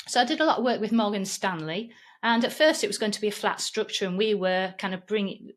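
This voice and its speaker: A woman in her fifties with a Yorkshire accent, a podcast guest telling her career story in a chatty, self-deprecating way with frequent restarts. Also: medium pitch. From England. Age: 50s. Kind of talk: conversational interview storytelling.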